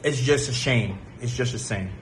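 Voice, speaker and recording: A man's voice: mid-range voice, normal speed, a little hiss or hum. A man from Jamaica who grew up in the United States, giving an explanatory personal talk in an emphatic way. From born in Jamaica, grew up in the United States. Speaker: vlogger sharing a travel experience.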